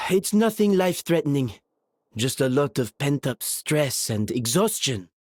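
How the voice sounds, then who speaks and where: A serious, responsible man from an anime game kitchen. His voice is husky and close, measured in phrasing but with lively rises and falls. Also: fairly high pitch, dry recording. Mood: concerned.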